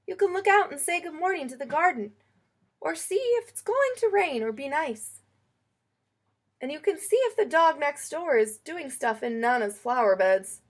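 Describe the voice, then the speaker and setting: A woman reading a children's picture book aloud in an expressive, storytelling way. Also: high-pitched, normal speed. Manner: expressive, acts out quoted lines.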